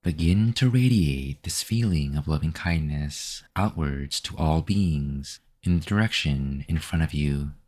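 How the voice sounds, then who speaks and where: Male meditation guide, very deep pitch, unhurried delivery, measured instructional narration. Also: darkish tone.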